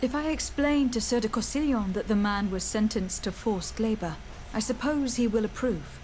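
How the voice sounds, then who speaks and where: An actress playing an earnest, diplomatic young noblewoman in a video game, speaking in a formal, measured and polite way. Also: on the high side, a little mellow, a little hiss or hum, lightly acted, poor audio. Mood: courteous, composed.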